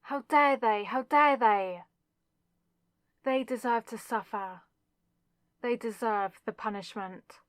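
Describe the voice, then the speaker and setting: A woman playing a possessive, lovesick demonic villainess in a dramatic ASMR audio roleplay, speaking with intense, deliberate pauses. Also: mid-range voice, no room sound, lightly acted. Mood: furious.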